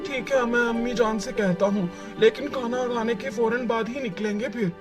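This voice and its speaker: A performer voicing an eager, impatient young boy who pleads excitedly in a children's cartoon. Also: mid-range voice, normal speed, close to an everyday voice. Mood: insistent. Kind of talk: Hindi children's cartoon dialogue. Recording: music under the voice.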